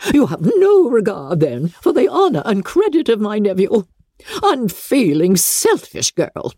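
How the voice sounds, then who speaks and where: A woman's voice: mid-range voice, wildly swooping pitch, a little slow, warm tone, no echo, studio quality, voice pushed up, heavily acted. A woman voicing a haughty, incensed older noblewoman in a dramatic novel reading, imperious and declamatory. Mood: incensed, accusing.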